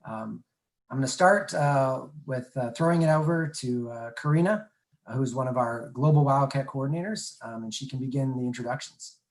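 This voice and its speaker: Halting man hosting an admissions webinar. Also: medium pitch, poor audio. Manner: halting, many filler sounds. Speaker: admission director hosting a panel. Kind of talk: webinar presentation.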